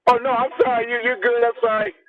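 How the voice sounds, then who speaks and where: A male guest calling in to a casual chat, talking in an animated, profane way with laughing asides. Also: very high-pitched, fast talker. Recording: tinny phone line.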